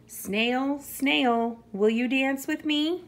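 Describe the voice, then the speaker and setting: Woman acting little male mouse, high voice, singsong, pleading, eager, storybook style. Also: extremely slow, exaggerated voice. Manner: singsong, pleading, repeats names. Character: small eager male mouse, singing pleas to dance.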